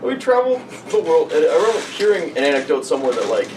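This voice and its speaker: Animated young man, echoey and distant. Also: a little high, fast talker. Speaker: podcast co-host. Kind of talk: casual chat. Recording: echoey noisy room, distant mic.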